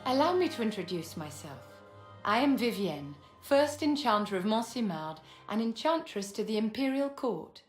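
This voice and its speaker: A woman's voice: a little high, big pitch swings, moderate pace, roomy sound, faint music behind. A woman playing an imperious aristocratic enchantress in a fantasy game, poised, genteel and condescending. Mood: gracious. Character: imperious, silky aristocratic female court enchantress.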